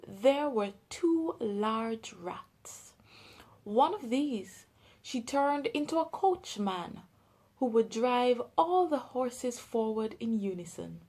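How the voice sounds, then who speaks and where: Slow-paced Jamaican woman storyteller. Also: on the high side, darkish tone, breathy edge. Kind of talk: read-aloud children's story narration.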